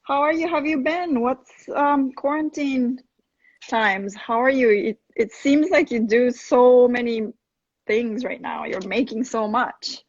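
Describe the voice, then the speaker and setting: A woman's voice: on the high side, slow pace, dry recording. Dry, muffled woman host on a call. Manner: encouraging, frequent short affirmations. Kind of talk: casual interview over video call. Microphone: video call.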